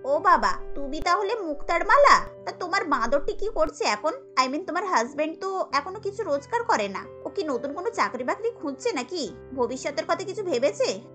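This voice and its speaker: Cartoon busybody girlfriend woman performer: mocking, nosy, high-pitched, animated gossip. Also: moderate pace, faint music behind, full character voice. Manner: teasing, prying.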